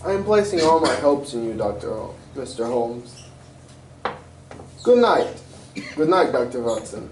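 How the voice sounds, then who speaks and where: An amateur actor, a man, playing an anxious, proud European king in a radio drama style, formal, grave and halting. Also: medium pitch, very animated. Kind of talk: 1940s-style radio mystery acting. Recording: roomy, distant stage recording with faint noise.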